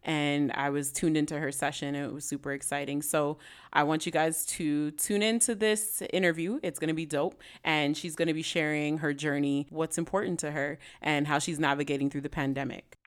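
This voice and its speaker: A woman hosting a podcast, speaking in a relaxed, slangy, conversational way. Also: low voice, a little fast, clean tone. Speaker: podcast host.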